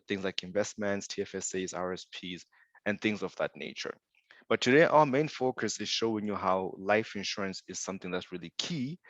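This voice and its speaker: A young man from Zimbabwe presents on a video call in a low, steady voice. He keeps things upbeat and tells stories with asides. The call audio is dry and lo-fi. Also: no echo. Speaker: insurance sales director presenting. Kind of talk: webinar presentation.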